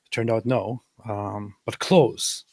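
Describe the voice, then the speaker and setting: A man hosting an interview, upbeat and chatty, with quick asides. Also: fairly low pitch, fairly even intonation, a little mellow, no echo. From Germany, Baden-Württemberg. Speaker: host. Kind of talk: interview host.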